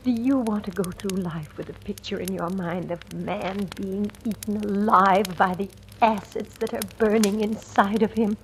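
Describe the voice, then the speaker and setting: A woman's voice: mid-range voice, fairly even intonation, moderate pace, few pauses, close to an everyday voice. Actress as blunt, bitter radio-drama woman, faintly noisy. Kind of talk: 1940s-50s radio drama acting. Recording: old radio recording, faint noise.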